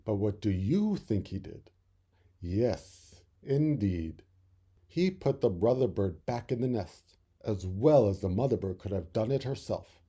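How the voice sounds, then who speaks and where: A man with a very dark, mellow voice narrates a children's story. His delivery is animated and expressive, with deliberate, dramatic phrasing. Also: fairly low pitch, husky. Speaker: storybook reader.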